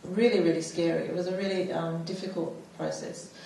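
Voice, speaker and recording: A woman's voice: lowish voice, fairly even intonation, fairly quick, gravelly, warm tone, a little hiss or hum. A woman in her forties, born in the United States, telling her personal story as a panel speaker, with many fillers and restarts. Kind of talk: personal testimony at a public talk. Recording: echoey hall, mic far off.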